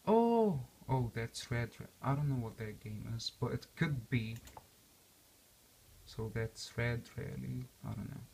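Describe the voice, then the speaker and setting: Young man with an accent, gravelly tone, relaxed chatty vlogger who rambles and restarts. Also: mid-range voice, measured pace, slight background noise, poor audio. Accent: non-native English accent. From born and raised outside the US. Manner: rambling with many fillers and restarts. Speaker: vlogger reviewing a game console. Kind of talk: casual unscripted walkthrough.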